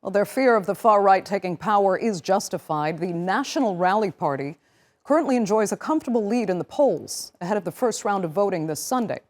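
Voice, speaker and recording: A woman hosting a news program, reading a script in a clear, measured way with emphatic openers. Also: mid-range voice, animated. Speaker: news show host.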